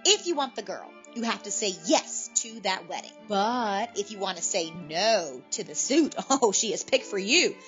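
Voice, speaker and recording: A woman playing a chatty, meddling middle-aged aunt who poses as a political expert in a comic audio drama, folksy and gently needling. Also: mid-range voice, big pitch swings, moderate pace, hardly any pauses, highs cut off, faint music behind, natural voice. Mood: insistent, teasing. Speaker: guest expert on satirical political show. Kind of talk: comedic audio drama dialogue.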